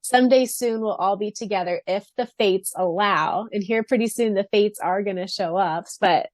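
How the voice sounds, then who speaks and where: A woman's voice: medium pitch, normal speed, no echo. A woman co-hosting a podcast, chatting casually in a gushing, giggly way. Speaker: podcast co-host. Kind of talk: casual chat about a fan fiction.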